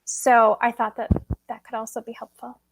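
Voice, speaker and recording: A woman introducing herself as a new school board member, measured and thoughtful. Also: on the high side, little pitch movement, slight background noise. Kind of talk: self-introduction at a meeting.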